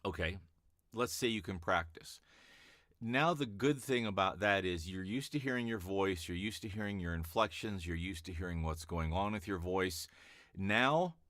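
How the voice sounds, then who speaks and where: A man coaching voice-over hopefuls in a relaxed, chatty teaching talk with a calm, easy-to-listen-to voice. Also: low-pitched, moderate pace, no room sound, clean studio sound. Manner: relaxed and chatty, with fillers and restarts. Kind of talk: casual teaching vlog.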